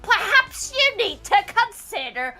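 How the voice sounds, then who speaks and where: A grand, mystical lady psychic for a comic radio play. Her voice is very high and lilting, drawing words out with portent and theatrical soothing. Music sits behind it. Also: big pitch swings, unhurried, bright, forceful tone, exaggerated voice. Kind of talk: improvised comedic radio-drama table read.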